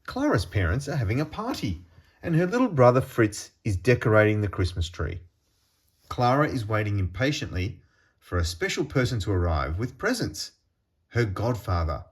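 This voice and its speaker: A man reading a children's storybook aloud in an expressive, deliberate storytelling manner with pauses. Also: mid-range voice. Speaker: storybook reader.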